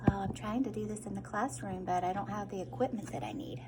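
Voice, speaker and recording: A woman reads a children's picture book aloud like a teacher, with dramatic emphasis and hushed asides. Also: medium pitch, fast, heavy background noise. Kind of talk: expressive read-aloud of a children's story.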